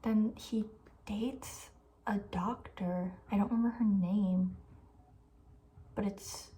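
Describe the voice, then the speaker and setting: A woman talking softly and breathily in a casual, opinionated ASMR ramble, often slipping toward a whisper. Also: mid-range voice, fairly even intonation, slow. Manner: chatty, informal, opinionated, trailing off. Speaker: ASMR video host. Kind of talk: soft-spoken ASMR, casual rambling chat.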